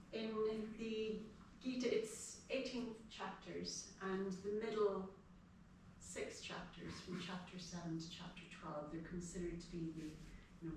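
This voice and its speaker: A middle-aged woman with an Irish accent, giving an informal spiritual class in a measured, explanatory way. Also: lowish voice. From Ireland. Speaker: guest speaker giving a devotional class. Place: house gathering room, indoors. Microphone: distant from the speaker. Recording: echoey room, distant mic, noisy.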